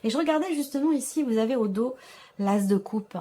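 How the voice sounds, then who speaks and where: A woman's voice: a little high, lively intonation, fairly quick, mellow, slight background noise. A woman giving a conversational tarot reading to her viewers, reassuring them and often circling back over her phrases. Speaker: tarot reader, channel host.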